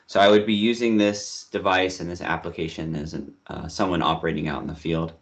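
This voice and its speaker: A man's voice: low-pitched, steady tone, fairly quick. A man in his thirties demonstrating an app and making radio-style calls over a video call. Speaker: demonstrator playing field operator. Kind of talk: practical demo and radio calls. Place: indoor room on video call. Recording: dull video call audio.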